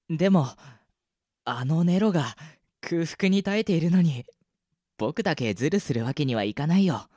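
A man acting a loyal, gentle young adventurer boy in an amateur radio drama, speaking earnestly and politely. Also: fairly high pitch, lively intonation, unhurried, long pauses, no room sound, studio quality. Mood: hesitant. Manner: soft-hearted, earnest, polite.

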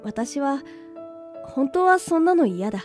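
A woman playing a bright, wistful nineteen-year-old village girl in a Japanese audio drama, cute and expressive. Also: high voice, unhurried, faint music behind.

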